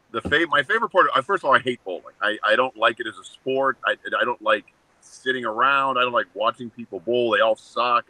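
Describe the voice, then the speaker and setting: A man in his seventies co-hosting a casual talk show, joking bluntly and ranting in short, flat statements. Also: mid-range voice, dry recording, low-quality recording. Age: 70s. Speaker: co-host. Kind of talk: casual chat.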